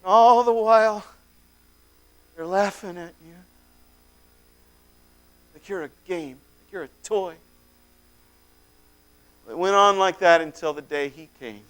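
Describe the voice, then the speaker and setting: Guy acting out a haunted freed man telling his story, goes from whisper quiet to yelling, really high smooth voice. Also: animated, moderate pace, hardly any pauses, poor audio. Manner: swings from hushed to shouted. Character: freed tormented man recalling possession, haunted, fervent. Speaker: dramatic monologue performer. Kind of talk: first-person dramatic monologue.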